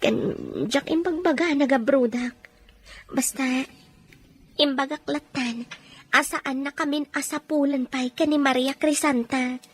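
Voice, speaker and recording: A woman's voice: high voice, fairly even intonation, unhurried. A woman playing a regretful, resigned young mother in an audio drama, confiding and subdued. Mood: resigned, defensive. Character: regretful, resigned young mother working abroad, former secretary. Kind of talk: amateur audio drama acting.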